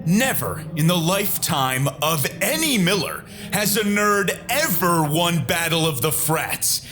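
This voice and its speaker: A man's voice: fairly high pitch, animated, unhurried, over music. A man playing a cocky, hostile young frat-boy ghost in an audio drama, brash and taunting.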